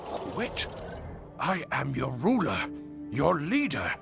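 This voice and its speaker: A man's voice: mid-range voice, wildly swooping pitch, slow pace, creaky, over music, exaggerated voice. A man voicing a haughty, cowardly, self-serving prince in a video game, with a pompous drawl. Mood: indignant. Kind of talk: video game cutscene acting.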